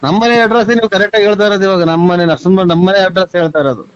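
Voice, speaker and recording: A man's voice: sits high, fairly even intonation, very fast, clear, resonant tone, no echo. A man with a rural Tumakuru Kannada accent talking in a bewildered, rambling, protesting way on a lo-fi phone call. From Tumakuru district, Karnataka. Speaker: prank call recipient. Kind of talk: casual phone conversation.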